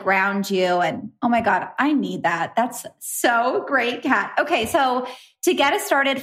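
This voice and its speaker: A woman's voice: on the high side, a bit bright. Enthusiastic, informal female podcast host. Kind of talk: chatty podcast interview.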